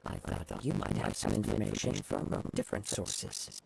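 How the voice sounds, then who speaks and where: A man playing a gentle, humble young samurai in a video game, speaking in a measured, formal and polite way with a creaky voice. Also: on the low side, lively intonation, background noise. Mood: composed, concerned. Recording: clear music behind the voice. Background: game background music.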